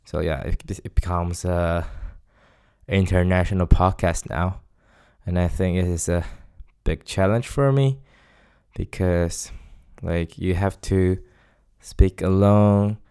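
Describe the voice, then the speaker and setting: A man in his twenties from Taiwan, with a Taiwanese accent and Mandarin Chinese as his first language, hosting a casual solo podcast and pausing often to search for words. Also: low-pitched, a little mellow, smooth. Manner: hesitant, searches for words with many pauses. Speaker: podcast host.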